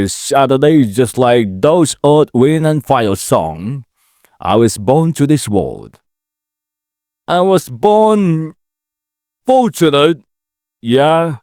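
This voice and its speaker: A man's voice: mid-range voice, darkish tone, no echo, clean studio sound. A man in his late twenties from Indonesia, speaking English with an Indonesian accent, rambling and correcting himself as he hosts his own vlog podcast. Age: late 20s. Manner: halting, self-correcting, chatty. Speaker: podcast host. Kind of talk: rambling solo vlog talk. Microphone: camera mic.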